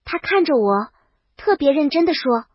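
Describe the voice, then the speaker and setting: High-pitched woman narrating, dry lo-fi sound. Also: sing-song, normal speed, no echo. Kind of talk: scripted first-person comic story narration, as a princess.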